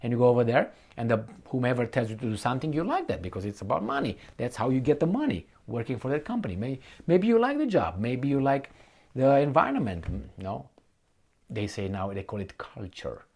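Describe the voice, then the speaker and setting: A man giving an unscripted, opinionated political commentary, speaking bluntly and colloquially, with halting repetition and rhetorical questions to the listener. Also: medium pitch, big pitch swings, a little mellow, slightly rough. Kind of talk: unscripted opinionated monologue to viewers.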